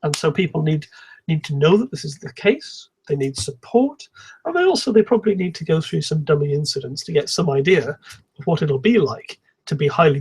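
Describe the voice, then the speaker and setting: A man giving an explanatory technical conference talk in a calm, measured manner, with a slightly husky voice. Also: a little high, poor audio. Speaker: conference speaker, software engineer.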